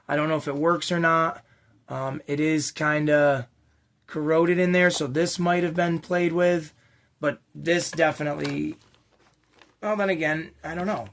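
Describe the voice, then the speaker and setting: Man, fairly high-pitched, a little raspy, casual vlog host, rambling, slangy, enthusiastic. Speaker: toy collecting channel host. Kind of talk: casual unscripted unboxing vlog.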